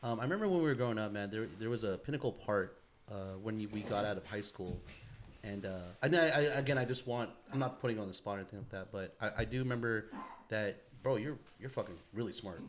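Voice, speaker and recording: A man's voice: lowish voice, quick pace, a little hiss or hum. A man co-hosting a podcast, speaking in an inquisitive, slangy, bro-heavy way among friends. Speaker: podcast co-host. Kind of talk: casual chat.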